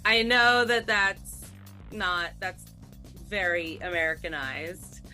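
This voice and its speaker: A woman's voice: on the low side, bright, forceful tone, faint music behind. A woman hosting a radio interview, asking easygoing questions and chatting along with her guest. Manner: slow, easygoing questions with laughs. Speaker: radio host. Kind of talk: casual interview.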